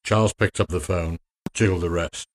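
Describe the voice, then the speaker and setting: A man narrating a scripted radio drama in a theatrical, deliberate manner. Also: low voice, steady tone.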